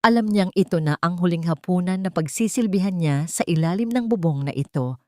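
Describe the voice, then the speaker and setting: A woman with a Filipino accent narrating a scripted dramatic story in a measured, theatrical way. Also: fairly low pitch, mellow, no room sound.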